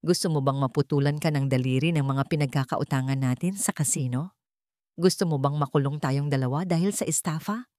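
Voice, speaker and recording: A woman's voice: sits low, brisk, darkish tone, no room sound, voice pushed down, natural voice. Scheming older matriarch, played by a woman narrator, audiobook melodrama: commanding, contemptuous, emphatic, dry close sound. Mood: forceful, insistent.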